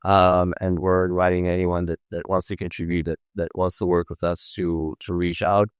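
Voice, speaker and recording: Male interview guest, very low smooth voice, hesitant and measured company spokesman. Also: little pitch movement, dry recording, studio quality. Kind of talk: informal interview answers.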